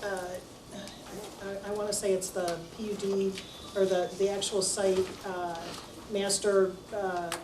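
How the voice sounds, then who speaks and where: A woman chairing a township planning meeting, speaking with many pauses and fillers. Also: mid-range voice, little pitch movement, noisy background. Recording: echoey room, distant mic.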